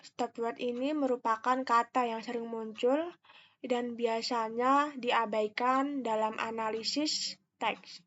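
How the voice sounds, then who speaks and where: A young woman speaks in a fairly high voice through a slightly muffled, lo-fi microphone. She presents like a student walking through a tutorial, explaining each step carefully and very slowly, with some hesitation. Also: fairly even intonation, a bit bright. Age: young adult. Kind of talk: step-by-step screen tutorial narration.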